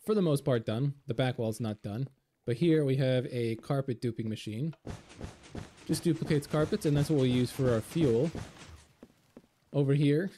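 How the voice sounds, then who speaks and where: A man talking casually over gameplay, hoarse and a little raspy from being sick, rambling with lots of fillers. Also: medium pitch. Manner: relaxed, rambling, many fillers. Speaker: game video creator.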